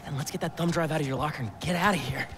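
A man's voice: a little high, racing, a bit bright, close to an everyday voice. A young man acting an eager, loyal best friend in video game cutscenes, speaking casually with a teasing, urgent edge. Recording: game audio with music behind.

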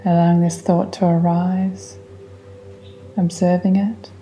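A woman guiding a meditation in a gentle, soothing, unhurried way, speaking in calm instructions. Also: fairly low pitch, slight background noise. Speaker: meditation guide. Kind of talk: guided meditation. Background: relaxing music.

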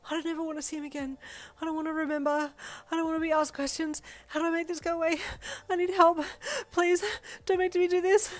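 A woman's voice: very high voice, flat delivery, fast talker, voice pushed up. A woman voicing a frightened, pleading young woman who gasps and sobs in panic, in an audio story. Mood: panicked pleading. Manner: gasping, breathless pleading.

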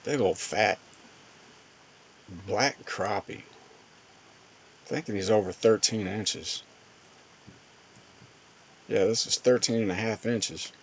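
A man's voice: fairly low pitch, slow, long pauses, slight background noise. A man giving casual, plain-spoken voice-over commentary on fishing footage as host of a fishing channel.

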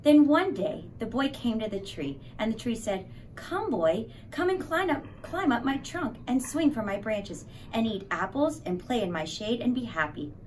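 A woman's voice: a little high, sing-song, normal speed, hardly any pauses, a little mellow. A woman reading a children's storybook aloud expressively, a little away from the mic. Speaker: elementary school staff reader. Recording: distant mic, faint room noise.

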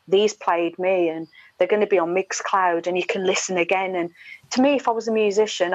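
A middle-aged woman, a radio presenter, chatting in an opinionated, emphatic way as an interview guest over a video call. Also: on the low side. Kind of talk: casual podcast interview chat. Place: small room indoors, video call. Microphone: wired earphone headset. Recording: dull, echoey video-call audio.

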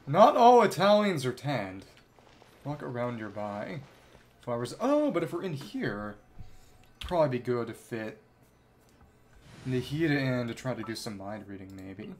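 Expressive man chatting while streaming a game. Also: mid-range voice, a little slow, pauses often, slight background noise. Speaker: game livestreamer. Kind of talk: casual livestream gameplay chat and reading along.

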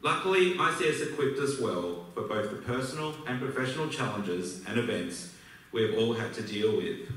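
A man in his thirties with an Australian accent, giving a prepared, measured speech at a podium in a large auditorium. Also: medium pitch, animated, a bit bright, far from the mic. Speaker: alumni speaker. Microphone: podium mic, filmed from far back in the hall. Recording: echoey hall recorded from afar.

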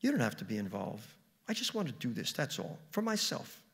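A man reading a story as a calm, bitterly resolved young neighbor woman, gently insistent in manner. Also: mid-range voice, a little fast, gravelly, breathy edge, full character voice. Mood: quietly resolute. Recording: reverberant hall with long echo.

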